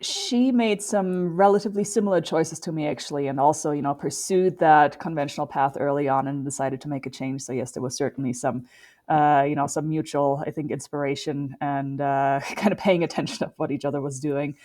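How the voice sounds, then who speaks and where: A woman in her forties from Germany with a German accent, speaking English as a second language in a measured, articulate way as an interview guest. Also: low voice, darkish tone. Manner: articulate, measured, frequent "you know". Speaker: guest, endurance athlete. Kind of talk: conversational interview.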